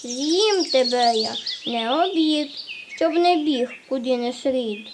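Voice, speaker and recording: A woman voicing a big hungry bear in a cartoon, speaking low, slow and threatening, with birds chirping behind. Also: expressive, noisy background. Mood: menacing.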